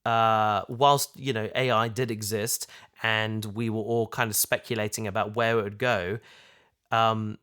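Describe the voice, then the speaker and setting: A man's voice: on the low side, a bit bright. A man hosting a podcast, chatting casually at home as someone who makes the show. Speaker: podcast host, show creator. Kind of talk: casual chat. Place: in his house.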